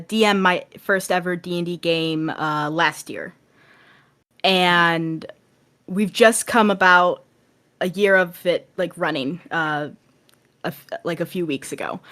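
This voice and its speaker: A woman's voice: fairly low pitch, fairly bright tone. A woman talking casually and enthusiastically as a guest on a podcast, in an earnest way.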